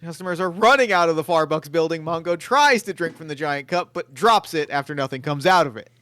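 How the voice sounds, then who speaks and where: A man narrating screenplay stage directions in a table read, brisk and matter-of-fact. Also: fairly high pitch, lively intonation, sharp tone, no room sound, studio quality.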